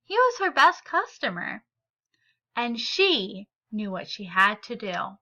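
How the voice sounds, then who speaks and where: A woman reading a children's picture book aloud with deliberate, expressive phrasing for young listeners. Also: a little high, dull audio. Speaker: read-aloud storyteller.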